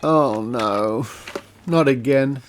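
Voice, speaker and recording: A man playing a shifty astrologer in a comedy podcast sketch, with halting, deliberate salesman patter. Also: mid-range voice, very noisy. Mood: dismayed. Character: shifty male astrologer upselling dubious insurance.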